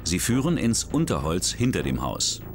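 A man's voice: deep voice, big pitch swings, normal speed. A man reading the scripted narration of a true crime documentary in a dramatic, suspenseful way. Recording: voice-over with music bed.

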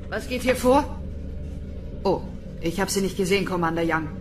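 A woman's voice: medium pitch, normal speed, noisy background, natural voice. A woman playing a cold, stern military lieutenant in a sci-fi audio drama, speaking in curt commands.

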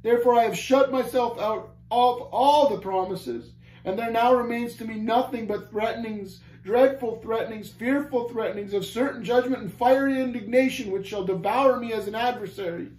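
A man's voice: very high-pitched, normal speed, darkish tone, pitched above the performer's own voice. A man voicing a despairing, guilt-tormented prisoner in an audiobook story, moaning in anguish. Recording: roomy echo, faint background noise.

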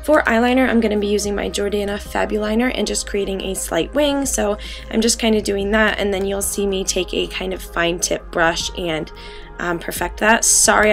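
A young woman in her twenties, raspy from a cold, chatting through a makeup tutorial in a bedroom, full of ums and likes. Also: mid-range voice. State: getting over a cold. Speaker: beauty vlogger. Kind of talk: casual makeup tutorial talk. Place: bedroom, indoors.

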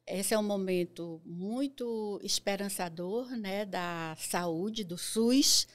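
An older Brazilian woman speaks as a podcast guest in a studio. Her voice is of average pitch, and she answers in a conversational way. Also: slow. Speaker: podcast guest, nurse and health council member. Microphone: studio microphone on boom arm.

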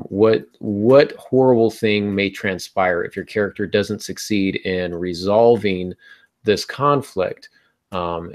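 A middle-aged man with a low voice talks casually about his craft. He is somewhat hesitant and thinks aloud as he goes, speaking over a video call from a home room. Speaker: podcast host and novelist. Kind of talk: casual writing-advice discussion.

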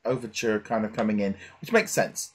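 A man's voice: fairly low pitch, expressive, fairly quick, slightly rough. Middle-aged man, rambling podcast chat. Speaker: podcast host. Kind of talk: casual podcast discussion. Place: small home room with shelves, indoors. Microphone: headset.

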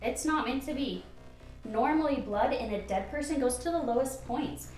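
Woman playing a no-nonsense kind doctor in a radio play, highish voice, echoey with music behind. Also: normal speed, a little mellow, close to an everyday voice. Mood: clinical. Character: brisk, compassionate female doctor investigating a disaster. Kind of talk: radio drama acting.

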